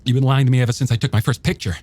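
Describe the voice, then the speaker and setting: A man reading aloud voices an agitated woman sarcastically accusing her husband, lifting his pitch well above his own. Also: steady tone, rapid-fire, a little mellow, close to an everyday voice. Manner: sarcastic, accusing, rising to near-shrill.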